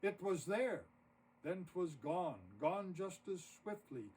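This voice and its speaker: A man narrating a rhymed story poem expressively, with dramatic, deliberate phrasing. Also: high-pitched, expressive, darkish tone, slightly rough. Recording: distant mic with audible noise.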